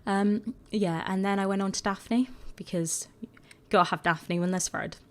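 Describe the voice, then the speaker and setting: A woman with a croaky voice, chatting casually and rambling a bit as she talks over her video. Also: mid-range voice, steady tone, normal speed. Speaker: gaming video creator.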